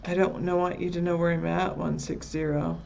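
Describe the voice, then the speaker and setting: A woman co-hosting a casual live chat show, relaxed and chatty, answering viewers by their usernames. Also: on the low side, brisk, gravelly, a little hiss or hum.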